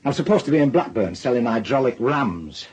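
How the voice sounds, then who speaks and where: A man's voice: medium pitch, very animated, fairly quick, a little mellow, lightly acted. An actor playing a furtive, placating salesman, talking hesitantly in a naturalistic radio drama. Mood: awkward.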